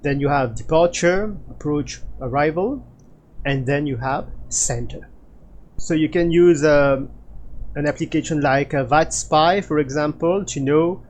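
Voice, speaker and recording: A man narrates an instructional tutorial in a somewhat high male voice. He takes his time, moving very slowly through each explanation. His delivery is halting, broken up by frequent hesitation sounds. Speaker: flight simulator instructor.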